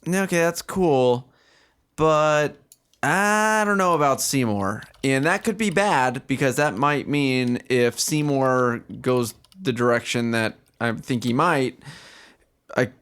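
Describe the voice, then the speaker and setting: A man co-hosting a podcast, chatting casually about video games in slangy, halting phrases with repeated words. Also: medium pitch, animated, fairly bright tone, clear, resonant tone. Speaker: podcast co-host.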